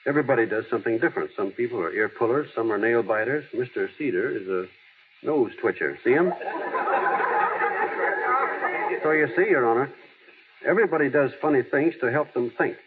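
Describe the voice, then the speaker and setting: A man's voice: mid-range voice, quick pace, close to an everyday voice. An actor playing an earnest, plainspoken small-town American man in old radio drama style, folksy and a little hesitant. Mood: wry, easygoing. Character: earnest small-town everyman, plainspoken, gently wry, heir to a fortune. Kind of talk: 1930s-40s American radio drama acting. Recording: tinny, hissy old radio broadcast.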